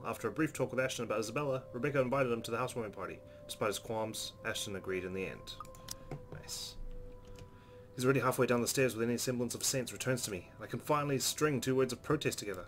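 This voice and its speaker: A man hosting a let's play, reading visual novel narration aloud expressively and adding his own commentary. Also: on the low side. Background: soft game music.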